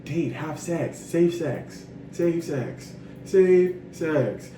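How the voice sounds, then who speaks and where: A young man in his twenties giving frank, animated advice in a casual monologue, swearing freely and throwing in sassy asides. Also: a little high, drawn-out words. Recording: roomy echo, distant from mic, noisy.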